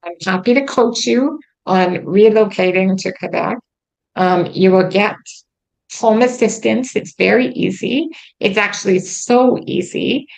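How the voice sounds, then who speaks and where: A woman hosting a casual chat, with a gravelly, creaky edge to her voice. She talks earnestly and chattily, filling her pauses with ums. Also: medium pitch, a little mellow, low-quality recording. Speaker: host. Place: lives in Quebec.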